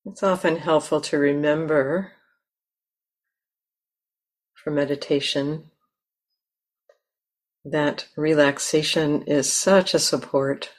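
Woman, low voice, slow pace, gentle guided meditation, long pauses. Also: steady tone. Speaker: meditation teacher.

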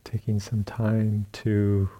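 A man leading a guided meditation as a teacher, speaking in gentle, unhurried phrases with long pauses between them. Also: fairly low pitch, completely flat delivery. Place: retreat center meditation hall.

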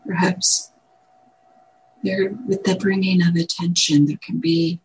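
A woman meditation teacher guiding a meditation in gentle, measured short phrases with long pauses. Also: fairly low pitch, steady tone, rough recording. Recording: clear background noise, room ambience.

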